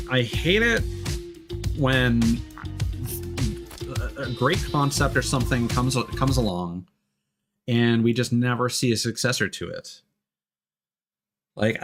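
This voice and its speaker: A man giving casual, rambling, enthusiastic video game commentary as a livestreamer, swearing freely. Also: medium pitch. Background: game music.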